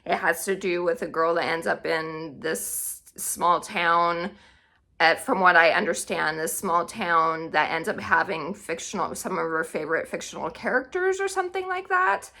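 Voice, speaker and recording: A woman's voice: fairly low pitch, expressive, normal speed, bright, forceful tone. A woman hosting a casual book vlog, talking informally and chattily, often correcting herself as she goes. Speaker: book content creator and host.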